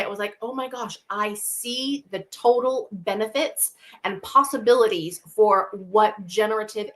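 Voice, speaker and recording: A woman's voice: mid-range voice, fairly bright tone. Enthusiastic woman explaining as a guest expert. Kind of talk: conversational interview answers with anecdotes.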